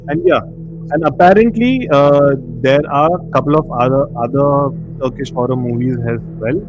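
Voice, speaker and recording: A man's voice: medium pitch, moderate pace. Man chatting over music, slightly muffled. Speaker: host of a horror discussion livestream. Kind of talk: casual movie review chat. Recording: muffled audio with clear background music. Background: music bed under the voice.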